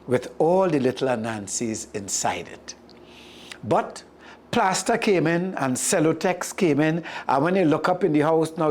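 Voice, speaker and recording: A storyteller with a Caribbean accent reading a tale aloud in an expressive manner. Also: mid-range voice.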